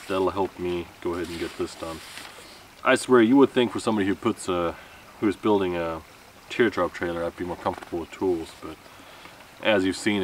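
A man in his thirties talking casually and unhurriedly to camera as a camping vlogger, rambling a little and poking fun at himself. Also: deep voice. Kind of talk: casual vlog talk to camera. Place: outdoor wooded campsite. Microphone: camera a few metres away. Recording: distant mic with outdoor background noise.

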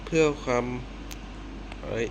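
A man's voice: slow, heavy background noise. A man hosting a reaction video in casual, slangy speech, exclaiming and reading text aloud. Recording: music playing loudly behind the voice. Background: eerie music from the video being watched.

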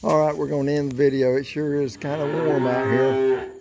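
A man's voice: mid-range voice, flat delivery, a crowd in the background. A middle-aged man from Florida, walking through an open barn at night with a phone camera, talking casually and counting calves aloud. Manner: slow, unhurried country talk with fillers, counting animals aloud. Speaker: cattle seller filming his barn. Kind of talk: casual walkthrough vlog. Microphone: handheld phone camera. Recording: noisy outdoor barn phone recording.

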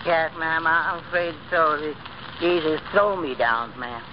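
An actor playing a meek, polite, slow-witted male streetcar cleaner in a 1930s radio comedy. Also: fairly high pitch, close to an everyday voice. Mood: naive. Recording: noisy, muffled 1930s radio recording.

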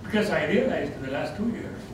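An older man in his sixties with a Tamil accent, a retiring theology professor giving a reflective, gently self-deprecating farewell talk in a seminar room. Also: on the high side, lively intonation, fast talker. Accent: Tamil-accented English. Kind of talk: informal farewell lecture with Q&A. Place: seminar room, indoors, medium-sized. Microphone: far camera. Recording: echoey room from far off, background noise.

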